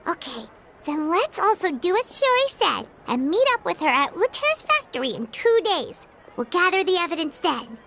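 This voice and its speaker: Very high, squeaky, excitable little fairy. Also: female voice, moderate pace, slight background noise, exaggerated voice. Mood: eager. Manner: refers to self in third person, exclamatory. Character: small, childlike, chatty fairy companion, earnest and excitable. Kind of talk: video game voice acting. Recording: game music behind the voice.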